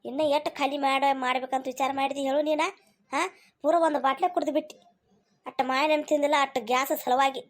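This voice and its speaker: A woman's voice: high-pitched, flat delivery, fast, natural voice. A woman voicing a cheeky, know-it-all young daughter-in-law in a cartoon comedy, explaining and nagging teasingly with a North Karnataka accent. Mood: nagging, warning.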